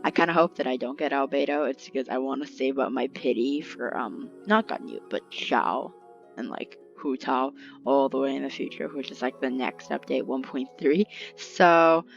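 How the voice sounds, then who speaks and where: Casual gamer voice, kinda androgynous, thinking out loud, lo-fi mic, bit of music behind. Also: medium pitch, moderate pace, gravelly. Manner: informal, thinking aloud. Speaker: video game streamer. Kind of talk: casual let's play commentary.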